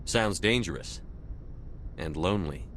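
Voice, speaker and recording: A man's voice: lively intonation, a little slow, a bit bright. A man acting a composed, direct military starship commander in a video game. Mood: curious, calm. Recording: faint engine rumble behind.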